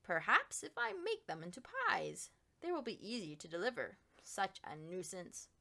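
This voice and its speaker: A woman's voice: mid-range voice, huge pitch swings, normal speed, pushed and bright, full character voice. A woman reading a storybook, voicing a stubborn, fussy woman gardener in an emphatic, grumbling manner.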